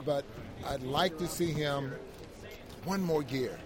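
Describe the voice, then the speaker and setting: A man co-hosting a sports show, giving casual basketball analysis in a halting way, repeating words as he builds each point. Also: fairly high pitch. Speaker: basketball broadcast co-host, analyst. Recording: crowd noise behind the voice.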